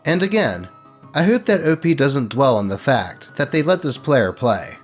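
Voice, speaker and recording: A man narrating stories in an expressive, colloquial way, with casual commentary mixed in. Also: mid-range voice, normal speed, faint music behind. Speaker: host reading online tabletop horror stories.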